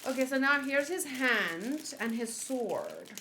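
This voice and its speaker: A woman's voice: high voice, wildly swooping pitch, slow pace, pushed and bright, slight background noise. A woman streamer chatting casually and giggling as she unboxes and assembles a figure, answering her chat.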